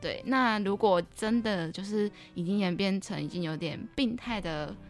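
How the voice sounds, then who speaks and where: A woman's voice: mid-range voice, moderate pace, faint music behind. A woman with a Taiwanese Mandarin accent hosting a podcast in a conversational, encouraging and reassuring way. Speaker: podcast host.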